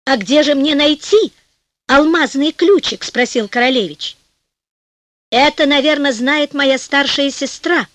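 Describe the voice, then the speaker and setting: Female audiobook narrator, high lively voice, slow storybook reading, dry studio sound. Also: no room sound. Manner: lively, voices the fairies and birds with raised pitch.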